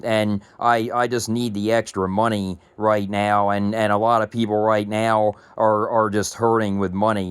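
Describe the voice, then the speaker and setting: Soft-spoken man in his thirties, rambling. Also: on the low side, smooth, dry recording. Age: 30s. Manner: rambling, many ums and restarts. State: slightly raspy from allergies. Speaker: tech YouTuber. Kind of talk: casual vlog talk to camera.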